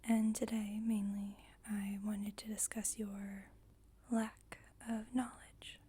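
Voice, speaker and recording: A woman playing a composed, formal vampire coven leader in an ASMR roleplay, whispering softly in a measured, instructive, gently wry way. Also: mid-range voice, flat delivery. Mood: reassuring, measured. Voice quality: breathy, whispered, soft.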